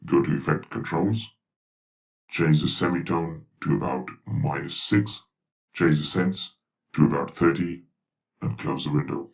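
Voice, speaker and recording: An extremely deep robot delivers deliberate tutorial instructions. The voice is crackly and processed with a robotic delay effect, sounding set back from the mic over music. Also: male voice, fairly even intonation, gravelly, distant mic, full character voice. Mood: instructive. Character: instructive robot speaking for a video channel. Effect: robotic pitch-lowered voice with flanger and delay.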